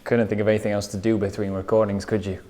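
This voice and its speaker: An actor playing an easygoing bandmate in a sitcom, teasing in a dry, deadpan, conversational way. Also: male voice, lowish voice, quick pace, lightly acted. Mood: sheepish banter.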